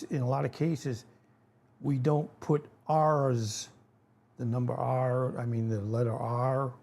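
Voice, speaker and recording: Older Rhode Island man in his 70s, folksy rambling host, slow, gravelly and mellow. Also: medium pitch. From New England. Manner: rambling, folksy, frequent fillers and self-corrections. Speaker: channel host. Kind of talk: casual educational talk to camera.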